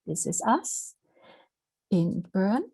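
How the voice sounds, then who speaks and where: Woman lecturer, Swiss accent, dry close sound, measured talk over slides. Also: mid-range voice, animated, creaky, dark tone, husky, dry recording, poor audio. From Switzerland. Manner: measured, with frequent restarts and fillers. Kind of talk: presentation with slides.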